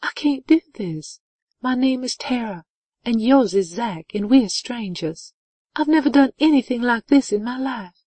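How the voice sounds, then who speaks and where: A woman's voice: mid-range voice, lively intonation, unhurried, darkish tone, husky, dry recording, voice pushed up. A woman voicing an insecure, emotional young woman, a naive heroine in a romance audiobook. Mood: tearful, flustered. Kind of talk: audiobook character dialogue.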